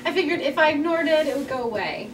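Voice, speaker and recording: A woman's voice: high-pitched, animated, quick pace. A woman playing an earnest, wry young female comic artist at a table read, candid and conversational. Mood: exasperated. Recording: echoey, noisy room, distant mic.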